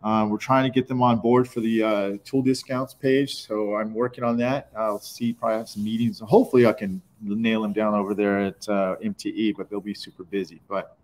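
A man's voice: on the low side, flat delivery, fairly quick, hardly any pauses, darkish tone. Middle-aged guy hosting a live stream from a car shop, easygoing, says dude a lot. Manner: loose and upbeat, calls people dude. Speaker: webinar host. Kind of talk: casual live-stream chat. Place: indoor auto repair shop.

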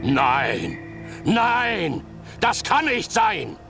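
An actor playing a proud, vengeful villain in a video game, haughty and menacing. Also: male voice, high voice, very animated, drawn-out words, gravelly, over music, exaggerated voice. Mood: disbelieving. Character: proud, vengeful villain, a slain imperial envoy. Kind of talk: dramatic video game acting.

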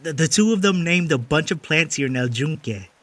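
Skeptical work-crew mate, fairly high male voice, practical, audio drama. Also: lively intonation, a little fast, fairly bright tone. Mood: matter-of-fact.

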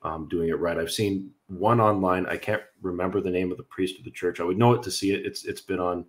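A young Canadian man with a deep, low voice talks through viewer questions on a livestream. He speaks as a priest, earnest and explanatory, with some fillers and restarts. He is heard through a webcam from a room at home. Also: fairly even intonation, a little fast. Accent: Canadian English. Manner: earnest, explanatory, with frequent fillers and light laughs. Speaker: Orthodox priest hosting a livestream. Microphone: video call webcam.

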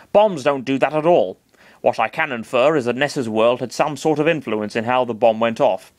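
A man's voice: mid-range voice, no room sound, lightly acted. A man voicing a smug, condescending giant cosmic hand with a sarcastic, grumbling manner.

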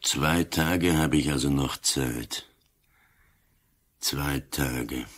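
A man's voice: very deep voice, drawn-out words, exaggerated voice. A man playing an ailing old police inspector, dry, terse and probing, in a radio drama. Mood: stoic, resigned. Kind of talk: German-language radio drama acting.

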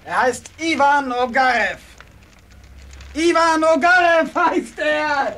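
High-voiced, sneering traitor villain. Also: male voice, takes it slowly, sharp tone, full character voice. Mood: gloating taunts. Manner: sneering, taunting, barking orders. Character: gloating, cruel male traitor villain, commanding. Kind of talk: radio play acting. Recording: faint background noise.

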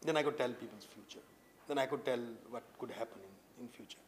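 A man in his late thirties from India, with an Indian accent, answering interview questions earnestly with many halting fillers and restarts. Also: mid-range voice, a little hiss or hum. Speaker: poet guest. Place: indoor room with tapestries and a sofa.

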